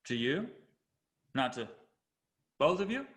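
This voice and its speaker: A man's voice: a little high, big pitch swings, slow, fairly bright tone, heavily acted. A man acting a commanding, thoughtful and defiant starship captain in a screenplay table read. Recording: online video call.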